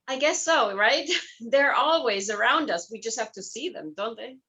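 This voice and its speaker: A woman's voice: a little high, expressive, moderate pace, pushed and bright, poor audio. A woman speaking as an interview guest in a chatty, conversational way, explaining things through personal anecdotes. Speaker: guest, hypnotherapist and life coach.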